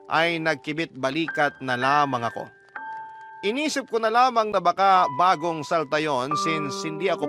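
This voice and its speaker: A man reading a first-person love story as scripted radio-style narration. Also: fairly high pitch. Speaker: storyteller reading a listener's letter. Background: prominent music bed.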